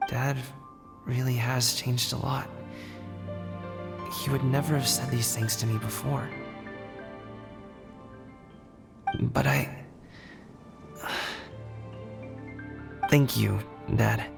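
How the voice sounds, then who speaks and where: Awkward young man, creaky voice, slow hesitant pacing, nervous, game character, music behind. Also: mid-range voice, level tone. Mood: moved, hesitant. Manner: hesitant, stammering. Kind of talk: animated game voice acting.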